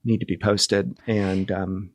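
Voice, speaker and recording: A middle-aged man speaking as a guest in a casual interview, reflective and understated, with halting phrasing. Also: low voice, flat delivery, dry recording. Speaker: podcast guest, media professional.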